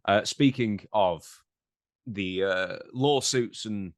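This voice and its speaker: A man's voice: lowish voice, slow, dry recording, studio quality. A man chatting casually as a podcast co-host, steering the topics in a conversational, wry way. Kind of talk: casual chat, guiding topics.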